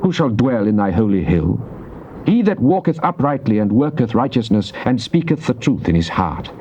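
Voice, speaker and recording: An actor playing a devout, earnest psalmist, reciting scripture in a solemn, measured, declamatory theatrical style. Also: male voice, mid-range voice, big pitch swings, dark tone. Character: devout psalmist, earnest man praying to God. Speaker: dramatized scripture reader. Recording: dull noisy cassette tape transfer.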